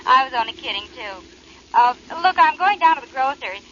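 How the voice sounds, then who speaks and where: A sugary, coquettish wife with a high, very animated voice in an old radio play. The recording is muffled. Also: female voice, brisk. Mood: sugary, teasing. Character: sweetly deceitful, coquettish young housewife. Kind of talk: 1940s radio drama acting. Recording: muffled, hissy old radio, telephone scene.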